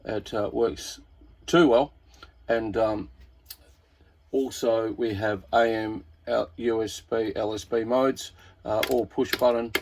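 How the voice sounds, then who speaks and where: A man's voice: fairly low pitch, steady tone, slow, creaky, a little mellow. An Australian man presenting a casual hobbyist product walkthrough in a laid-back, informal, slightly hesitant way.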